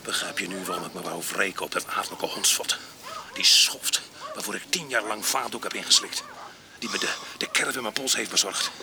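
An actor playing a rough, embittered ex-convict bent on revenge, brooding and dramatic in a radio drama. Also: male voice, low-pitched, a little fast, noisy background. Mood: bitter, vengeful.

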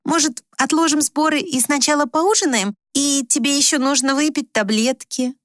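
Woman voicing an exasperated, high-voiced grown daughter. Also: normal speed, fairly bright tone, no echo, clean studio sound. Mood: coaxing. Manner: pleading, reasoning, insistent. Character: exasperated adult daughter soothing her difficult mother. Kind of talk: dramatised audiobook reading.

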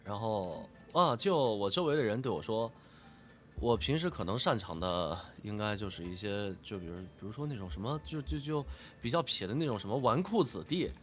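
A man's voice: fairly low pitch, lively intonation, brisk, a bit bright. A man with a nasal, stuffed-up voice, talking casually as a guest voice actor, modest and thinking aloud as he explains his work. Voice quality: nasal from a cold. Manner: modest, self-deprecating, thinks aloud with repetitions. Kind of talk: casual interview answers, explaining dubbing work.

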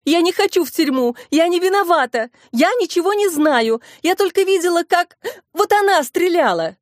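A frightened young woman in a crime audiobook, acted out by a female narrator. Her voice is high and shrill, sobbing and pleading. The sound is close and dry. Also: expressive, normal speed, no echo. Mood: panicked. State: crying. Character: hysterical frightened young woman, sobbing accused press secretary.

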